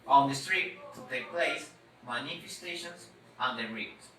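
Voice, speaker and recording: A man reads a scripted presentation in halting, word-by-word non-native English. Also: medium pitch, a bit bright. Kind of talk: scripted presentation read aloud. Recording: echoey, slightly noisy room, distant mic.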